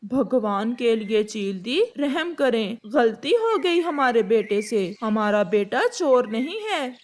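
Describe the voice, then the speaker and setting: A woman's voice: fairly high pitch, sing-song, takes it slowly. A woman voicing a sorrowful, submissive parent bird in a cartoon, begging deferentially.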